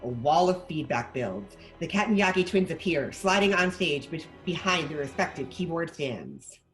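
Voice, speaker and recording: A man's voice: on the high side, animated, normal speed, a bit bright, soft background music. A man reading stage directions as scripted narration for a table read.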